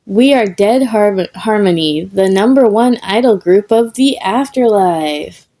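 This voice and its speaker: A woman's voice: mid-range voice, lively intonation, unhurried, mellow, natural voice. A woman voicing the showy, grand lead singer of a ghost idol group in a story reading. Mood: showy, proud.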